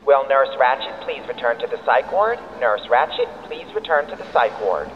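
An actor playing an impersonal hospital announcer over a reverberant PA loudspeaker in an audio drama. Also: male voice, medium pitch, very animated, normal speed. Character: flat hospital public-address announcer. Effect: PA loudspeaker with long reverb. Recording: muffled reverberant hospital PA, faint noise.

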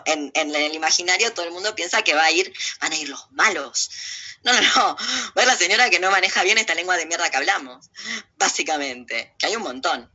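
Sharp, forceful Argentine woman with sardonic bite. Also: fairly low pitch, lo-fi sound. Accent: Buenos Aires Argentine Spanish. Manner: emphatic, sardonic, digressive. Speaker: interview guest. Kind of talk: opinionated political interview talk.

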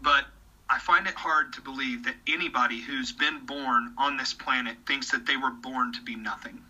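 Earnest, bright-voiced man in his thirties. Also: mid-range voice, fairly even intonation, moderate pace, rough recording. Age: 30s. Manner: earnest, repeats words when starting sentences. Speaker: podcast guest. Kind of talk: casual conversation.

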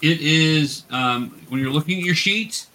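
A man's voice: a little high, unhurried, pushed and bright, low-quality recording. Male game master, patient instructor, casual delivery, faint background noise. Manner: patient step-by-step explaining. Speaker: game master teaching young players. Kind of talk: running a tabletop roleplaying game, casual instruction.

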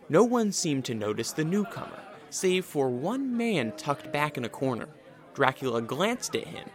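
A man narrating a scripted radio drama in a storytelling style. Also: medium pitch.

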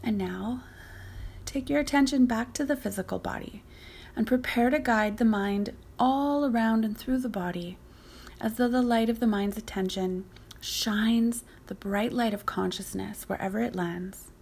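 A woman leading a guided yoga nidra meditation as the teacher, speaking softly and breathily, often close to a whisper, in a soothing, unhurried, gently instructive way. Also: on the high side, creaky, slightly rough.

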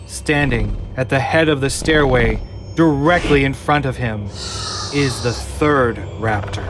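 A man reading scripted narration for an audio drama in a measured, often slow and hushed way. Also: medium pitch, background noise. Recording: clear music underneath.

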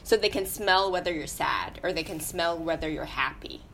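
A woman's voice: on the low side, normal speed, fairly bright tone, slight background noise, poor audio. A young woman on a podcast talking casually and reflectively, explaining her views with playful examples. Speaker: podcast co-host. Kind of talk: casual chat.

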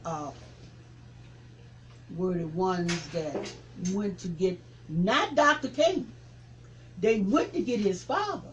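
Seventy-something reverend with preaching cadence. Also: male voice, high voice, muffled recording, slight background noise. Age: 70s. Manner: preaching cadence, rising to forceful emphasis. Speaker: reverend, civil rights veteran. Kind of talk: interview reminiscence.

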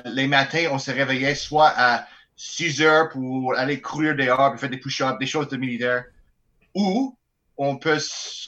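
A man with a Quebec French accent, a band's bagpiper, chatting in an interview with playful, deadpan bragging. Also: mid-range voice, lo-fi sound. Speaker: bagpiper in a folk band. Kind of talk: joking interview chat.

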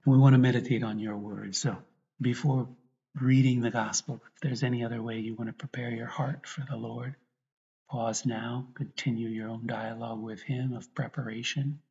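A man, a priest, guiding a meditation and prayer in a reflective way, often pausing mid-thought. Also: mid-range voice, steady tone, mellow. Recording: dull, lo-fi recording.

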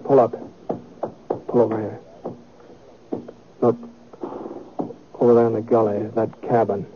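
A middle-aged American man playing a steady, laconic cowboy gunman in a 1950s radio western, speaking in a measured, folksy way. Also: lowish voice, lightly acted. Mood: watchful, probing. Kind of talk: 1950s radio western acting and first-person narration. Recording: tinny, noisy old radio recording.

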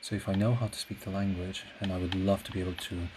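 A man, a native Portuguese speaker, talks alone in a low voice with little rise and fall. He rambles casually and thoughtfully, often pausing to find the right word, with clear noise behind him. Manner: hesitant, reflective, searching for words. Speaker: podcast host; classical singer. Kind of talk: casual solo rambling monologue. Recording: clear steady background noise.

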